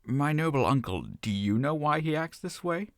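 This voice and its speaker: A man's voice: a little high, animated, normal speed, fairly bright tone, no room sound, studio quality. A man playing an earnest, caring young cousin. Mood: concerned. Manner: earnest, concerned, coaxing questions. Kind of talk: audio drama acting.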